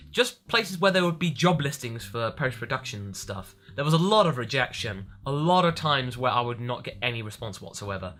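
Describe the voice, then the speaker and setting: A man's voice: mid-range voice, a little hiss or hum. A man around his thirties talking casually and enthusiastically in a question-and-answer vlog. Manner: enthusiastic, animated. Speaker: online vlogger.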